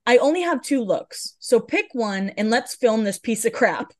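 A woman's voice: a little high, lively intonation, normal speed, darkish tone. Woman co-host reading gossip items. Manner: chatty, reads blind items aloud. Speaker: podcast co-host. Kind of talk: casual celebrity gossip chat.

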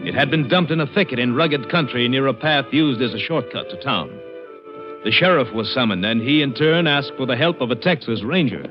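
A man's voice: mid-range voice, expressive, fairly quick, lightly acted. A man giving scripted narration as the narrator of a 1950s radio drama. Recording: muffled old radio recording. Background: music.

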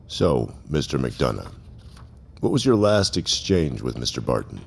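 An audio drama police officer with an extremely low, gravelly voice. His questions are formal and unyielding, yet the pitch moves with lively variation as he probes. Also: a little slow, background noise, heavily acted. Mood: measured, probing. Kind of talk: audio drama interrogation dialogue.

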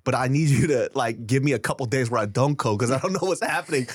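A man's voice: mid-range voice, quick pace, no room sound. A man co-hosting a podcast in casual chat, joking and swearing with dramatic flair. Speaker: podcast co-host. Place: podcast studio. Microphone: studio microphone.

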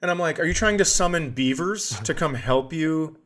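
A man's voice: on the high side, expressive, moderate pace, a bit bright. A man hosting a podcast, telling a casual comedic story in a profane, exasperated way and acting out the dialogue. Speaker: podcast host.

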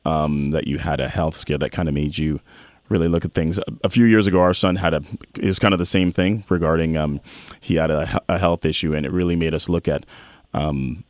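Man, interview host, very deep voice, dry sound, easygoing chat. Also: a little fast, dry recording. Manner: relaxed, agreeable, many fillers. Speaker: talk show host.

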